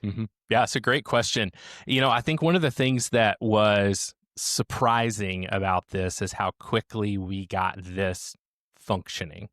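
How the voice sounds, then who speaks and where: Guy with a lowish voice explaining his support setup, steady and methodical, dry close sound. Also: no room sound. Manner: measured, explanatory, slows down on key points. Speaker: support team leader, guest. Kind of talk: conversational interview answers.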